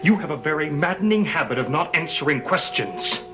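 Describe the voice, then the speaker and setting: Guy acting blunt suspicious young man, fast curt questions, old tv soap, loud music over him. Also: a little high, lively intonation, natural voice. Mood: suspicious, irritated. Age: young adult. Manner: curt, interrogating. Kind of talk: television soap opera acting. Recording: vintage TV soundtrack, very loud music.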